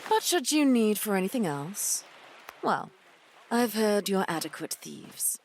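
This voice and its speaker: A woman's voice: medium pitch, big pitch swings, a little slow, a little mellow, a little hiss or hum. A woman playing a stern, commanding pirate captain with a formal, firm manner, in an audio adventure drama.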